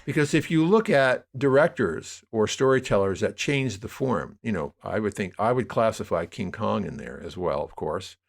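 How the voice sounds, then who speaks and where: Male podcast guest, lower-pitched voice, talks through ideas conversationally as an emphatic, discursive storyteller. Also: lively intonation, normal speed, vocal fry, hardly any pauses. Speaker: podcast guest, audio drama producer. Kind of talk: conversational interview, explaining media history.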